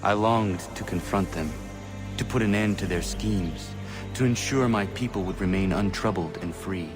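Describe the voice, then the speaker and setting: Man playing a low-voiced, earnest young man. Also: close to an everyday voice. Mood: solemn, restrained. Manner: plain, formal, measured phrasing. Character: earnest, terse young man, determined and restrained. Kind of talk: video game acting and scripted narration. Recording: music behind the voice. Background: music.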